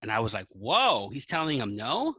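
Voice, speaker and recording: Guy telling a story casually on a podcast, bright voice, acts out who said what, really dry sound. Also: mid-range voice, wildly swooping pitch, moderate pace, husky, no room sound. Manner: acts out dialogue in anecdotes. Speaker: podcast guest, teacher.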